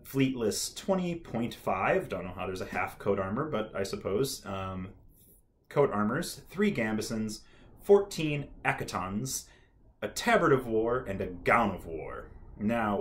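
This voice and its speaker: Young guy, slightly low voice, nerdy history explainer, relaxed, lots of ums, small room. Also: slow. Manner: relaxed, many ums and uhs, nerdy enthusiasm. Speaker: history video host. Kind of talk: casual educational talk to camera. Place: small room indoors, dark backdrop.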